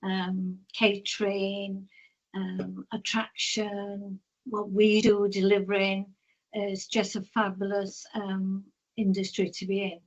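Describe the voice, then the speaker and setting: A woman's voice: medium pitch, completely flat delivery, slow pace, dull audio. A Welsh-speaking woman in her fifties from North Wales, chatting in a rambling, self-deprecating way on a video call. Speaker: tour operator.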